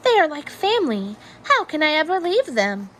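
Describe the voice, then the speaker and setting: Woman acting a gentle hermit crab, storybook, earnest, polite, high pitch, lively intonation, some background noise. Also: normal speed. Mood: wistful, earnest. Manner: expressive, sweetly emphatic storybook delivery.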